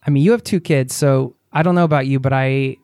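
A man hosting a travel podcast in a relaxed, chatty way, talking in a small side room of a restaurant. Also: medium pitch, brisk, clear, resonant tone, no room sound, studio quality. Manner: relaxed, chatty, laughs easily. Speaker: podcast host. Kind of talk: casual interview chat.

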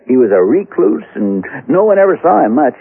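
Husky folksy old-West ex-sheriff, tinny recording. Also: male voice, mid-range voice, very animated, brisk. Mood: folksy, grave. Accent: American. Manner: drawling rustic storytelling. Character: folksy former frontier sheriff telling a grisly tale. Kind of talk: 1970s radio western acting. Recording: muffled, phone-like old radio recording.

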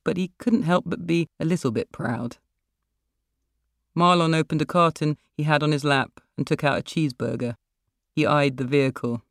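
A woman's voice: low-pitched, vocal fry, no echo, studio quality. A woman author reading a short story aloud as literary narration.

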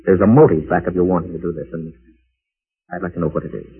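An actor playing an obsessed, resentful professor in a 1940s radio drama, on an old, tinny broadcast. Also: male voice, low-pitched, fast talker, slightly rough, exaggerated voice. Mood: coaxing. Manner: agitated, insistent. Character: obsessed middle-aged male professor, resentful and unstable.

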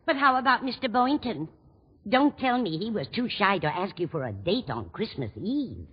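A woman's voice: mid-range voice, big pitch swings, normal speed, husky. An actress playing a sweet, absent-minded older landlady in a 1940s radio comedy, chatty, fond and dithering. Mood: concerned. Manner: dithering, trails off mid-thought. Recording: dull noisy 1940s radio recording, studio audience. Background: studio audience.

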